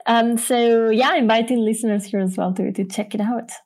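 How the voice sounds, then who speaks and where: A woman's voice: a little high, steady tone, normal speed, darkish tone. A woman co-hosting a podcast, chatting and pointing listeners to resources. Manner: conversational, adds remarks and points listeners to resources. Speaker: podcast co-host. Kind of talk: podcast interview.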